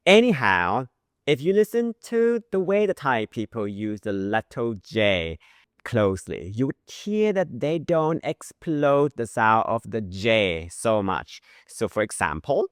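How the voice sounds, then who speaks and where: A man teaching a language lesson who says sounds slowly and repeats them as examples. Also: mid-range voice, very animated, a bit bright, no echo, clean studio sound. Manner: modeling pronunciation with drawn-out sounds.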